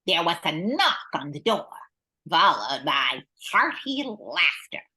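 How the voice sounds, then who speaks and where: A woman with a husky voice playing a storybook narrator in an amateur radio play. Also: fairly low pitch, sing-song, slow pace, bright, forceful tone, heavily acted. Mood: storytelling. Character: storybook narrator, easily exasperated.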